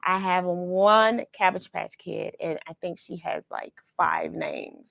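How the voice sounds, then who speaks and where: Slow-paced woman hosting a read-along. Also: fairly low pitch, vocal fry, dry recording, highs cut off. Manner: measured, expressive storyteller delivery. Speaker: host of a read-along show. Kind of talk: expressive storybook reading and friendly live chat with viewers.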